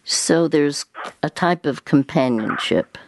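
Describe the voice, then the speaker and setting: Slow, halting older woman radio host. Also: sits low, a little mellow, a little hiss or hum. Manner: slow, halting, many pauses and fillers. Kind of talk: gentle counseling conversation.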